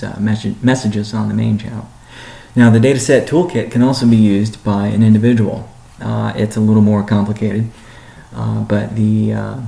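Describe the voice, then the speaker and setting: Deliberate CEO man with gravelly voice. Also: fairly low pitch, dark tone, reverberant. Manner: measured, deliberate, businesslike. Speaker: company CEO answering community questions. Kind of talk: weekly conference call Q&A.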